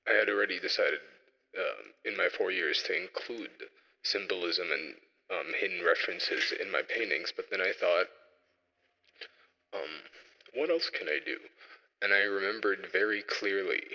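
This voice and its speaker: A young man presenting an informal solo audio log, speaking haltingly and reflectively as he thinks aloud, through a radio-style effect. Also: deep voice, vocal fry, sharp tone, in a large hall, highs cut off. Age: young adult.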